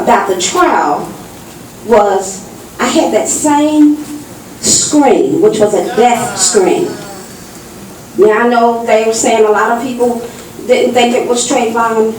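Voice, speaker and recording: A woman in her fifties telling a personal story at a public meeting, in deliberate, dramatic phrases broken by pauses. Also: on the high side, darkish tone, a little hiss or hum. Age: 50s. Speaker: audience member giving testimony. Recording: distant mic in very echoey hall.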